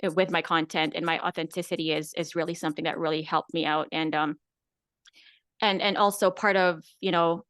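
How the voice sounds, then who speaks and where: A woman's voice: sits low, steady tone, a little fast, fairly bright tone, no room sound, clean studio sound. A woman from the Philippines, a guest content creator chatting casually in an interview, filling her talk with "like" and "right?". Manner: chatty, many "like" and "right?" fillers.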